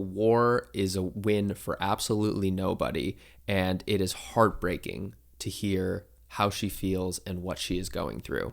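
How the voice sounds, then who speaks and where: A man's voice: low-pitched, creaky. A man hosting a podcast interview, speaking earnestly and greeting his audience. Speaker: podcast host.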